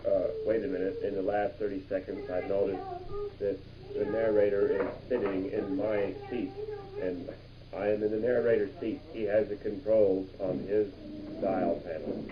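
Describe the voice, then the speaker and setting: A man playing a brisk astronaut commander in an improvised pretend radio play, announcing in a mock-official, dramatic way. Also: fairly low pitch, little pitch movement, crowd noise, lightly acted. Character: brisk male astronaut commander running a rocket launch. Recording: muffled, noisy 1960s home tape.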